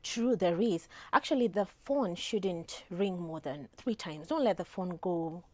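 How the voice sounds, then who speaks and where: A woman, an etiquette trainer calmly explaining phone manners on a studio talk show, with frequent fillers. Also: lowish voice. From Kenya. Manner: calm, measured advice with frequent fillers.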